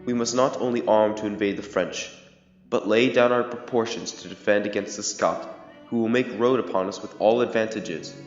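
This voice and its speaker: A man's voice: on the low side, steady tone, moderate pace, lightly acted. A man playing a cautious, commanding young king, speaking formal, grave Shakespearean verse in an audio drama. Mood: wary, grave. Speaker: amateur actor in student audio drama. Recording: echoey hall, dull audio, music underneath.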